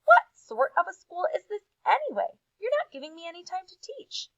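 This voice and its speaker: A woman's voice: extremely high pitch, sing-song, fairly quick, vocal fry, a bit bright, no echo, highs cut off, voice pushed up, heavily acted. A woman voicing an indignant, exasperated schoolteacher in a children's storybook, plaintive and emphatic. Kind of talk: children's storybook read-aloud.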